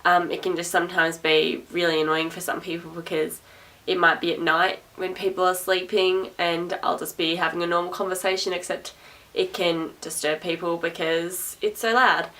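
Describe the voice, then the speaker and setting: A young adult woman talks casually to camera in a loud, projecting voice. She is sarcastic and chatty, repeats herself and laughs often. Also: lowish voice, little pitch movement, moderate pace. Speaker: vlogger. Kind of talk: rambling casual talk to camera. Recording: voice a little distant from the mic.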